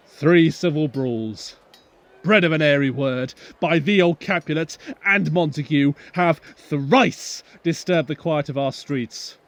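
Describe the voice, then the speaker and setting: A man playing a stern, outraged prince, declaiming commands in a Shakespearean audio drama. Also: a little high. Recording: faint noise, home phone recording.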